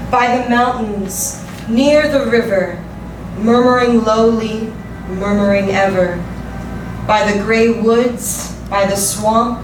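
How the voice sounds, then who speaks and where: Slow, solemn woman in echoey space. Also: mid-range voice, noisy background. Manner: solemn, deliberate, theatrical delivery. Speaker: poetry reader. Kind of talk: dramatic poetry reading. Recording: roomy, distant voice over music. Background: music.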